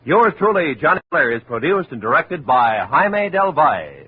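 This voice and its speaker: A man announcing radio program credits in a smooth, formal manner. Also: a little high, very animated, normal speed, background noise. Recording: tinny old radio broadcast with music.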